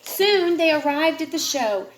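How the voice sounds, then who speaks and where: A woman's voice: high-pitched, measured pace, roomy sound, slight background noise. A woman reading a children's picture book aloud to a child, expressively. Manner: expressive, puts feeling into the dialogue. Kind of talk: read-aloud storytelling to a child.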